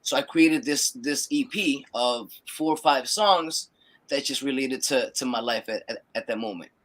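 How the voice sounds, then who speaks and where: A young man from Peru, raised in the United States, talking as a musician guest in a relaxed way, often repeating words and checking in with "right?". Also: mid-range voice, normal speed. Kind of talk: casual interview chat.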